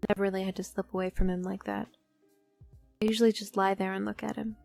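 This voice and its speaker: A woman's voice: mid-range voice, little pitch movement, fast, gravelly, darkish tone, no room sound, faint music behind, lightly acted. A woman playing a tired, tender wife and mother in a dubbed comic drama. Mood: hushed, regretful.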